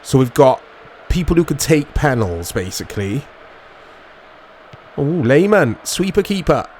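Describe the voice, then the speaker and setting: A man giving casual commentary on a football video game in short reactive fragments, his voice strained and a little hoarse. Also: on the high side, sing-song, unhurried, a little mellow, a little hiss or hum. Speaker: gaming channel host.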